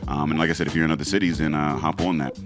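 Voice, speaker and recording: A man's voice: very deep voice, fairly even intonation, racing, music in the background. A man hosting a podcast, running through sponsor plugs in a loose, casual way with lots of fillers and swearing. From Pittsburgh, USA. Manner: laid-back, loose, swearing, many fillers. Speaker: podcast host. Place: podcast studio.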